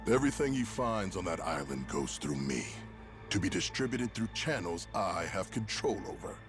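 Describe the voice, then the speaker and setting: Video game villain, male: polished, menacing businessman-gangster, formal and superior, deep register, swinging delivery. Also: lively intonation, normal speed. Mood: cold, insistent. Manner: smooth formal menace, condescending. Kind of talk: dramatic video game voice acting. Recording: game music behind.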